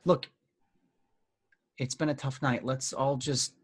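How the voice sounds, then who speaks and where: Guy acting a college age peacemaker, level-headed, higher male voice, play reading. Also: fairly even intonation, slow, husky. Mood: placating.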